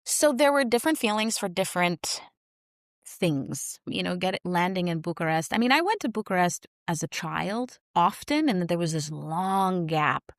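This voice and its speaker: A woman's voice: fairly low pitch, dry recording, studio quality. A woman, speaking as a podcast guest in a reflective, searching way. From New York. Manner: reflective, searching for words with many likes and you knows. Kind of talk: casual podcast interview.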